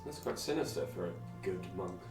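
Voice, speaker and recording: A man with a slightly low voice streams a game, muttering casual, wry commentary to himself. He sounds roomy and a little away from the mic, with clear game music behind him. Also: animated, brisk, distant mic. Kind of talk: casual live gameplay commentary.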